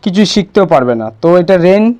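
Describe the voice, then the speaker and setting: A man's voice: high voice, expressive, fast, background noise. A man presenting a casual step-by-step screen tutorial, instructing and often checking that the listener follows.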